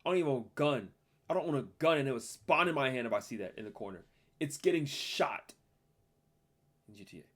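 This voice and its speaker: Animated male streamer reacting casually. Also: medium pitch, brisk, pauses often. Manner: slangy, exclamatory, repeats himself.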